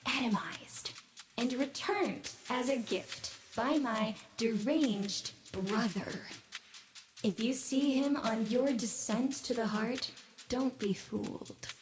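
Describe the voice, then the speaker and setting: A woman's voice: fairly high pitch, noisy background. A woman playing a bitter, haughty scientist and specimen collector in a video game, speaking with theatrical disdain. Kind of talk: video game character acting. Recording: music behind the voice.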